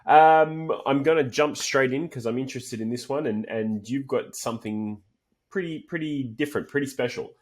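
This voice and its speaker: A man's voice: mid-range voice, moderate pace. A man hosting a business interview podcast, asking questions in a casual, loose way. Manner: casual, with repeated words and fillers. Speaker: podcast host.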